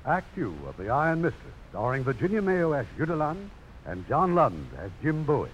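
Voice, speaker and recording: A man's voice: fairly high pitch, very animated, moderate pace, lo-fi sound, a little hiss or hum. A man hosting and narrating 1950s radio drama, formal and dramatic. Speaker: radio host, producer and narrator. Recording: old radio broadcast. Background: music.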